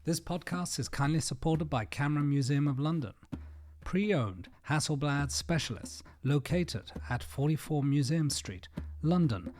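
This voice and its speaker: A man's voice: a little high, expressive, a little slow, darkish tone. A man hosting a podcast, reading the introduction and asking short interview questions. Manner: reads sponsor script, asks short questions.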